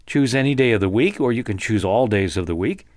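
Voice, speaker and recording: An American man narrating a software tutorial conversationally, explaining step by step in an informal, encouraging way. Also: lowish voice, animated, a little fast, no echo, clean studio sound.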